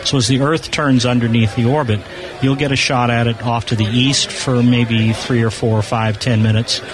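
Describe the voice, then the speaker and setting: A man with a mid-pitched voice gives an informal technical explanation as a guest on a live radio show. He is at a convention booth, with a clearly audible crowd behind him. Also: steady tone, normal speed, low-quality recording. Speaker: amateur satellite enthusiast, show guest. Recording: busy crowd noise, live radio broadcast. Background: crowd chatter.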